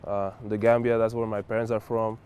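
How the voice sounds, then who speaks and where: A man's voice: on the low side, a little fast, clean tone. A young man from Spain, a college basketball player, answering interview questions casually and filling his talk with "like" and "whatever". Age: young adult. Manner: relaxed, many fillers like "like" and "whatever".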